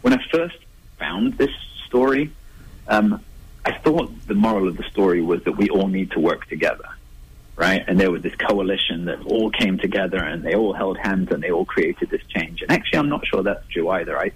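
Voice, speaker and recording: A man's voice: sits low, expressive, normal speed, breathy edge, poor audio. A man, an author, answering interview questions in a thoughtful, conversational way with frequent restarts. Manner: thoughtful, restarts, laughs lightly. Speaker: author guest.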